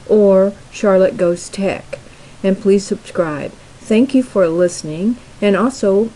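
A middle-aged woman hosting a software tutorial, explaining each step plainly and slowly with frequent fillers. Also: on the low side, slight background noise, low-quality recording. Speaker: tutorial host. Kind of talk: screen-recorded software tutorial.

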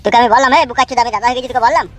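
A woman voicing a cocky, sharp-tongued male sidekick in a comedy cartoon, using slangy, sweary speech. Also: fairly high pitch, steady tone, very fast, close to an everyday voice. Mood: mocking. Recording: faint music under the voice.

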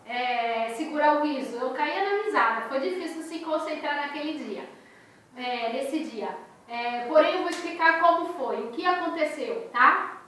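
Bright, animated Brazilian woman in echoey room. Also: high voice, recorded from a distance, slight background noise. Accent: Brazilian Portuguese. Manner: animated, expressive storyteller, gestures a lot. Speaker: crochet and crafts channel host. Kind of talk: casual storytelling vlog to viewers. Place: small bedroom, indoors.

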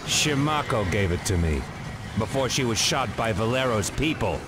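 An actor voicing a terse, guarded, low-key fugitive action hero in a video game. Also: male voice, expressive, normal speed, background noise, lightly acted. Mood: guarded, grim.

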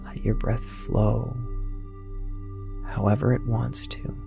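A man guiding a sleep meditation, speaking slowly and softly and sometimes dropping to a whisper. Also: medium pitch, little pitch movement, vocal fry. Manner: slow, soft and soothing, drifting into whispers. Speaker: meditation guide. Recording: dull lo-fi voice over singing bowl music.

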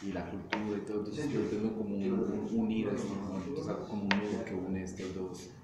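Low, flat-voiced young Colombian man. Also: fast talker, very echoey, background noise. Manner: searching for words, lots of fillers. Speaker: sculptor, interview guest. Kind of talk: interview answers.

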